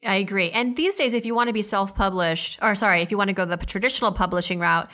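A woman's voice: medium pitch, quick pace, pushed and bright. A woman hosting a podcast, interviewing in a conversational style.

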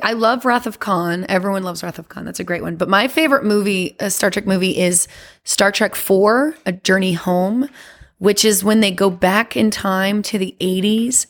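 A woman guest on a podcast, chatting eagerly as a fan and rambling with lots of fillers. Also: mid-range voice. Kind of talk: casual chat.

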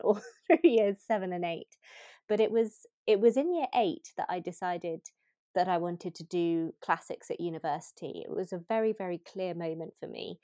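Woman, low voice, podcast co-host, eager storytelling, frequent restarts, dry sound. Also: darkish tone, no room sound. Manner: enthusiastic, halting restarts. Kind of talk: personal storytelling in podcast chat.

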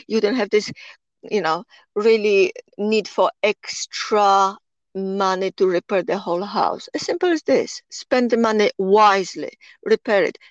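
A woman's voice: medium pitch, no echo. A woman in her sixties with a Polish accent talks earnestly in a podcast interview. She makes her points persuasively and circles back over her words. The sound is dry and slightly dull. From Poland. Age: 60s. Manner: earnest, persuasive, repeats herself while thinking.

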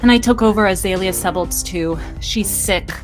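A woman's voice: medium pitch, moderate pace, natural voice. Woman playing a downbeat teenage girl over music. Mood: grim, resigned. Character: teenage girl from a poor district, fatalistic, caring. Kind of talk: audio drama dialogue.

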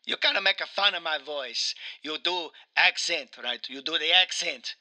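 A man's voice: a little high, normal speed, pushed and bright. A man voicing an aggrieved, self-pitying Italian video game plumber in broken English with a mock Italian accent, in an improvised comedy interview. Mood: indignant, wounded. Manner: broken English, whining indignation.

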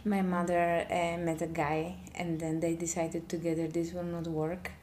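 A woman from Poland, a guest telling her story in a relaxed interview, often pausing with fillers. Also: fairly low pitch, slight background noise. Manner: hesitant, many filler pauses. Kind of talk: casual interview chat.

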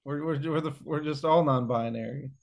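A man's voice: a little high, brisk, gravelly. A young man co-hosting a casual podcast, joking and stumbling over repeated words. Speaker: podcast co-host. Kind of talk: casual crude banter.

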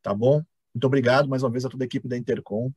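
A Brazilian man hosts an online academic discussion in an informal way. His mid-pitched voice has a husky edge, and the sound is dry, with little room echo. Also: steady tone, rapid-fire, a little mellow. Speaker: host and moderator of an online panel. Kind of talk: informal academic live-stream moderation.

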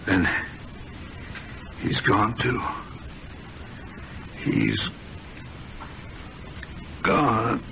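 An actor playing a dying, remorseful husband in an old radio drama, speaking gravely and haltingly. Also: male voice, lowish voice, wildly swooping pitch, bright, forceful tone, exaggerated voice. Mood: weak, remorseful. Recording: dull noisy vintage radio recording.

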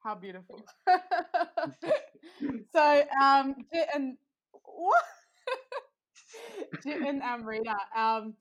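A woman's voice: sits high, sing-song, drawn-out words, long pauses, muffled recording. Chatty young woman hosting a podcast. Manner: chatty, upbeat, lots of fillers. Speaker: podcast host. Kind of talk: casual podcast chat.

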